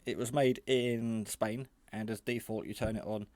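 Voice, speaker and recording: Low-pitched man giving a casual gadget demo. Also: fairly even intonation, normal speed, darkish tone, no room sound. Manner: hesitant, rambling, matter-of-fact. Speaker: hobbyist radio reviewer. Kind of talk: casual hands-on product demonstration. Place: garage.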